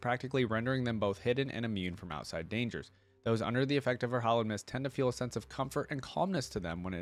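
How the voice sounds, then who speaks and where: A man hosting a reaction video, reading lore aloud and adding informal commentary as he thinks out loud. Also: on the low side. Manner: informal, thinking aloud between read passages.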